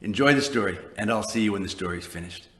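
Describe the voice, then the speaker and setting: A man's voice: on the low side, fast, a bit bright. A man introducing a story hour to young children, chatty and full of rhetorical questions. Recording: roomy, echoey recording.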